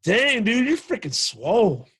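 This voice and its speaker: A man's voice: high-pitched, very animated, a little slow, a bit bright, breathy edge. A man in casual, easygoing banter, talking in friendly slang. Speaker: podcast guest. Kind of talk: casual chat.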